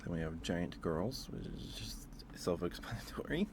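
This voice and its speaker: Man, deep pitch, casual ASMR stream chat, halting delivery, repeated words, clearly noisy recording. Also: animated. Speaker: streamer hosting a ranking.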